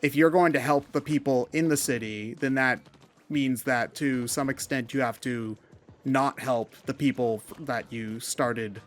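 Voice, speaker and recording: A man's voice: medium pitch, steady tone, unhurried. A man chatting casually as a gaming commentator, explaining his views in a loose, rambling way. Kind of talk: casual discussion of video games.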